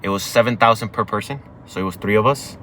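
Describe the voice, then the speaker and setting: A young man from Cuba, Spanish his first language, tells his story in a low, deep voice with a bright, forceful edge. He talks candidly and laughs between lines. Faint hum fills the background. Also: fast. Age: young adult. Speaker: guest. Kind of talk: casual storytelling. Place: inside a car.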